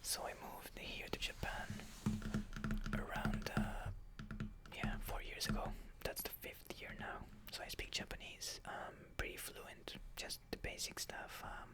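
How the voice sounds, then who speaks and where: A Hebrew speaker from Israel, whispering a meandering ASMR question-and-answer chat, full of soft fillers. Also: measured pace. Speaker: ASMR creator.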